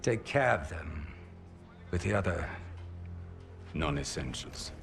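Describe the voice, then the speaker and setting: An actor playing a menacing senior commander who speaks slowly and gravelly. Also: male voice, a bass voice, expressive, heavily acted. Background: music.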